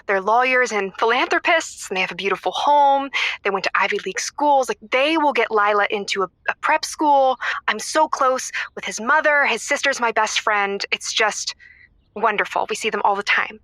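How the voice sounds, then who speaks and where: A woman acting as a snobbish wife calling a comedy radio show. Her voice is fairly high and bright, confiding in halting, emphatic phrases, with a very dry, close sound. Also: no room sound, close to an everyday voice. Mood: gushing, hesitant. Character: status-conscious, snobbish wife and mother, confiding caller. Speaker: caller. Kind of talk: improvised comedy call-in.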